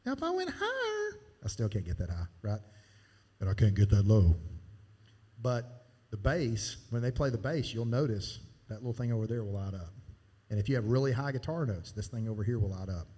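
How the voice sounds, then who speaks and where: A man walks a church team through its sound board in a loose, folksy way, explaining as he works the controls. His voice is mellow and dark, and it carries a long reverb from a live church sanctuary. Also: fairly low pitch, very animated, brisk. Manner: casual, folksy, talks while demonstrating. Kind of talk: hands-on sound board training.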